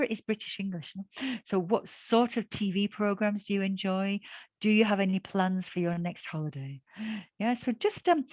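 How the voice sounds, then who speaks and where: A woman teaching an exam-prep lesson over a video call. She talks in a chatty, encouraging way, full of fillers and quick breaths. Her voice sits at an ordinary pitch, and the sound is dry and close. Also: no echo. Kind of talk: online class instruction.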